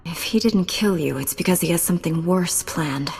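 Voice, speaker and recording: A woman's voice: on the low side, normal speed, vocal fry, darkish tone, husky, heavily acted. An actress playing a hard, vengeful female Jedi knight in a video game, curt and cold. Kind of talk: video game cutscene acting. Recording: long hall reverb, music behind.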